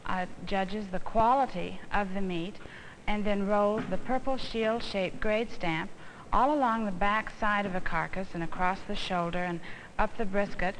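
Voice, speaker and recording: An American woman giving an instructional demonstration, explaining things in a deliberate, didactic way. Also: medium pitch. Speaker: instructional film presenter, home economist. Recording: noisy old instructional film soundtrack.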